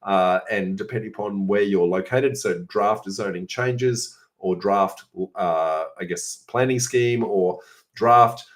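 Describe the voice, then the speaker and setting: A middle-aged man with an Australian accent, hoarse and raspy today, hosting a casual question-and-answer session and chatting through answers with many filler sounds. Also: on the low side, slow pace. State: hoarse, coughing. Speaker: host answering viewer questions. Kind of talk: informal Q&A session.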